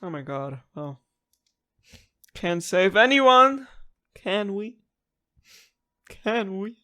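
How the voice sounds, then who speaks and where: A woman's voice: medium pitch, big pitch swings, very slow, sharp tone. A woman giving casual let's-play commentary as a gaming streamer, emotive and dramatic, with loose, sweary phrasing. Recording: game music underneath.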